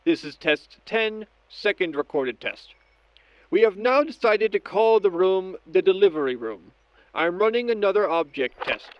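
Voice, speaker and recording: A man playing a methodical scientist in an audio drama, narrating each step of an experiment log in a measured, clinical way. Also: high-pitched, expressive. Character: methodical male scientist doctor logging experiments on tape. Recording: dull lo-fi audio with background noise.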